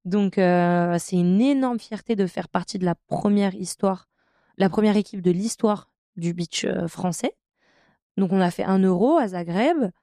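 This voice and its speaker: A woman's voice: medium pitch, unhurried, dry recording, clean studio sound. A woman in her thirties, a professional handball player from France, telling her career story in a podcast interview with many hesitations. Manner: hesitant, many fillers, warm enthusiasm about her career.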